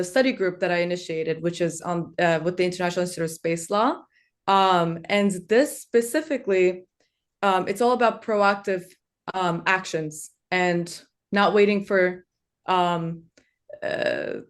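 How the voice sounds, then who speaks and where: A woman in her twenties who grew up in Turkey and learned Turkish first speaks on a webinar panel over a video call. She talks earnestly, pausing often on um and uh, in a voice that sits slightly low for a woman. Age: 20s. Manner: earnest, fills pauses with um and uh.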